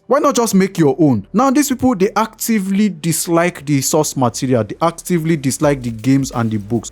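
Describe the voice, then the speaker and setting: A man's voice: a little high, no echo. A man with a Nigerian accent giving opinionated gaming news commentary, repeating points and asking rhetorical questions. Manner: animated, repetitive, rhetorical questions.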